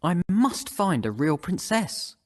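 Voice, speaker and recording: A man's voice: sits high, sing-song, slow pace, a little mellow, no echo, studio quality, exaggerated voice. A man playing a fussy young fairy-tale prince who complains in a picky manner. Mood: earnest, dejected. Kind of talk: acted children's fairy-tale dialogue. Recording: music behind the voice.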